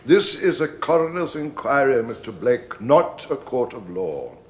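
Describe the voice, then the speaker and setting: An actor playing a stern, formal coroner who questions a witness in clipped sentences, in a radio drama. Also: male voice, medium pitch, sing-song, unhurried.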